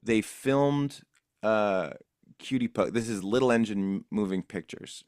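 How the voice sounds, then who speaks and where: Low-voiced man, dry close sound. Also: measured pace, a little mellow, no echo. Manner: easygoing, rambling storyteller. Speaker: voice actor guest. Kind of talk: casual interview recollection.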